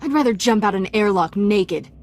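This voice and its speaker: An actress playing a defiant, wisecracking young Jedi in a video game, with an irreverent, strained delivery. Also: female voice, medium pitch, lively intonation, moderate pace, darkish tone, natural voice. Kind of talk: scripted video game acting.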